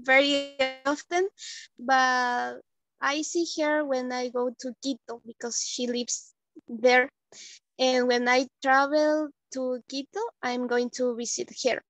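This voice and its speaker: A woman's voice: high-pitched, a bit bright, no echo, lo-fi sound. A woman answering interview questions in English, hesitant and searching for words. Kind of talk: English speaking practice.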